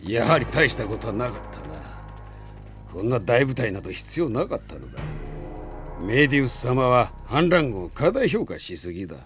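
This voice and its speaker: Man acting a haughty, wildly expressive enemy captain. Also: medium pitch, normal speed, over music, lightly acted. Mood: smug, dismissive. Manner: haughty, mockingly polite, contemptuous. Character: arrogant, sneering enemy army captain, former knight. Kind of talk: Japanese radio drama acting.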